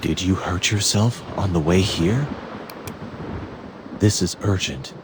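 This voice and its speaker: A deep, grave battle leader. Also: male voice, slow, noisy background. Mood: urgent. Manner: solemn, authoritative. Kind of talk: dramatic video game voice acting.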